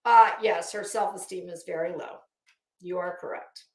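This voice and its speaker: A woman hosting a casual livestream rant, blunt, mocking and profane, with halting, emphatic repetition as she chats to viewers. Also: lowish voice, steady tone. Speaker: livestream host. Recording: roomy, echoey sound.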